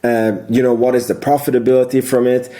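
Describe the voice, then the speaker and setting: Man, soft mellow tone, mid-range pitch, casual recap commentary, rambling, emphatic repeats. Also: little pitch movement, a little fast. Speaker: video host, amateur investor commentator.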